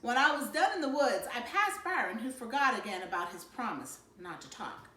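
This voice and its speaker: Higher-voiced woman reading aloud in an echoey room. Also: very animated, normal speed, pushed and bright. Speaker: storybook reader. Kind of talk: expressive read-aloud of a children's novel.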